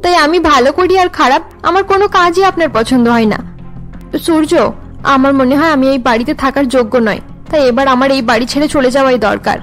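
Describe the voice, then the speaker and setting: A woman's voice: high-pitched, quick pace, fairly bright tone, soft background music. A woman voicing a wronged young daughter-in-law in a melodramatic cartoon, earnest, wounded and reproachful. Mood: hurt, reproachful.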